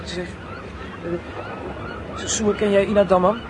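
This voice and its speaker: Teenage schoolboy, high voice, earnest, nervous, insistent, radio play, clear outdoor noise behind. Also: male voice, fairly even intonation, slow pace, a crowd in the background. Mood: shy, eager. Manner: insistent, hesitant, repeats instructions. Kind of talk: radio drama acting.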